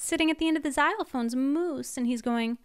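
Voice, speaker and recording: A young woman librarian reading a picture book aloud to children, sounding out letters playfully in a slow, animated storytime style. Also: high-pitched. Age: young adult. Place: small home room, indoors. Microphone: large studio mic on arm in front of her.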